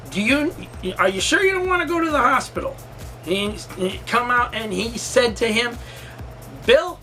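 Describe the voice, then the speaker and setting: Bright-voiced man in his forties telling stories. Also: high-pitched. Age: 40s. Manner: rambling, emphatic, repeats himself. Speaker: storytime vlogger. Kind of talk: casual personal storytelling.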